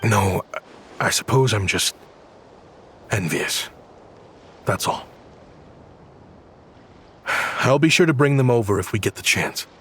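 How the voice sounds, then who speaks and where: A man's voice: lowish voice, lively intonation, a little slow, long pauses, a bit bright, a little hiss or hum. An actor playing a polite, guarded man who looks after children in a video game, speaking with courteous reserve. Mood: wistful. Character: polite, protective, guarded adult male minder of children.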